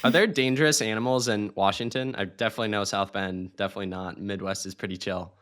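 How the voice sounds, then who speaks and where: A man hosting a podcast, asking questions and telling stories in a relaxed, rambling way. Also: on the low side, fast talker, no echo, studio quality. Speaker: podcast host. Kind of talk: casual interview.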